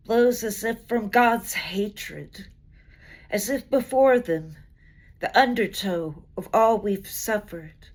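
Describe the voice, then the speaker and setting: A woman reciting poetry dramatically, with an expressive, measured delivery and deliberate pauses between phrases. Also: a little high. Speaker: poetry reader.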